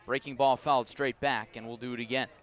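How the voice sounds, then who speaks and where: A man doing live baseball play-by-play for radio, describing each detail in a measured way and punching up the action. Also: medium pitch, little pitch movement, a bit bright. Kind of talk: live sports commentary. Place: outdoor ballpark broadcast.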